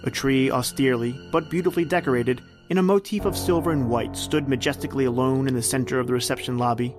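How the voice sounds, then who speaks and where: A man narrates like an old-time radio host, in a mid-pitched voice with theatrical storytelling delivery. Music plays clearly behind him. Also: fast talker.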